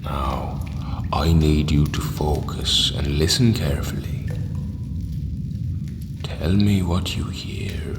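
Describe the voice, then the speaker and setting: A man acting in an audio drama as a calm, zealous cult leader, speaking solemnly and grandly with hushed menace. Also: very deep voice, takes it slowly, long pauses. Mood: ominous, reverent. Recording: echoey hall with long reverb.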